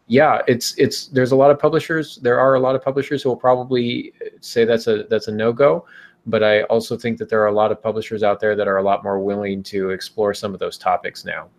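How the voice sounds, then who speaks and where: Male podcast host, slightly low voice, measured and reassuring, feeling his way through casual advice. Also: level tone.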